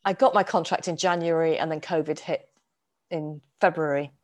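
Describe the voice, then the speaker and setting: Thoughtful woman, low voice, halting phrasing. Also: fairly even intonation. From United Kingdom. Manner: thoughtful, frequent restarts and repetitions. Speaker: author and guest. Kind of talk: casual conversation.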